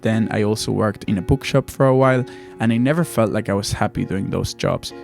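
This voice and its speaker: A man's voice: fairly low pitch, brisk, vocal fry, a little mellow, faint music behind. A young man from Argentina, a native Spanish speaker, giving casual advice to viewers as a video host.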